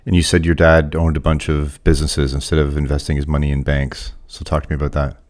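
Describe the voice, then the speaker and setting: A man hosting a podcast, speaking in a measured, earnest way as he introduces and questions a guest. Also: extremely low pitch, little pitch movement, darkish tone. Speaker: podcast host. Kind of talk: scripted monologue and interview questions.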